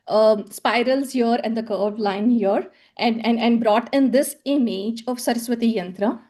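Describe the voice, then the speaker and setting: Woman presenter, fairly high pitch, hesitant and repetitive, lecture tone. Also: a little mellow. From South India. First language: Tamil. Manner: hesitant, many fillers and repeated words. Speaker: conference presenter. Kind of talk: academic presentation with slides.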